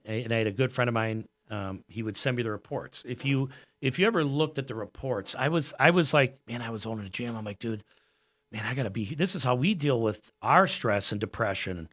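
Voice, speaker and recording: A man's voice: mid-range voice, fairly bright tone. Earnest American man in his forties. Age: 40s. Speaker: podcast guest, gym owner and coach. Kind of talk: casual podcast conversation.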